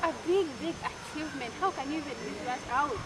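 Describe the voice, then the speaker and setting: Woman host, high voice, interview chat, loud background noise. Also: quick pace. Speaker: talk show host.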